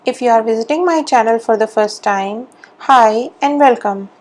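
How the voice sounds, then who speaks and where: A woman hosting a nail art channel, explaining each step in a clear, steady voice-over. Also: fairly high pitch, normal speed. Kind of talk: voice-over tutorial narration. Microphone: voice-over mic, not on camera.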